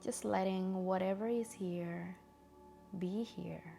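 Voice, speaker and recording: Woman, meditation guide, very slow pace, mellow tone, gentle and soft-spoken, long pauses, music behind. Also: mid-range voice, steady tone, vocal fry.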